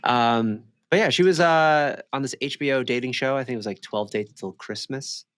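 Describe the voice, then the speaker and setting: A man's voice: mid-range voice, moderate pace, fairly bright tone. A young man co-hosting a podcast in casual, slangy chat full of bro and dude. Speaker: podcast co-host.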